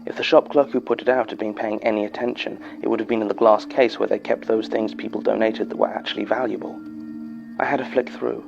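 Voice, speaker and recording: Sober British archivist for horror audio drama: low, gravelly, measured, formal delivery of eerie statements, slightly muffled sound. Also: male voice. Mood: uneasy, intrigued. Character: sober head archivist reading a theatre technician's eerie testimony.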